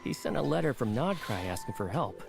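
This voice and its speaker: A man's voice: mid-range voice, sing-song, fairly quick, gravelly. A man playing a polite, earnest young book editor in an anime game, speaking formally and courteously. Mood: businesslike. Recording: game music behind the voice.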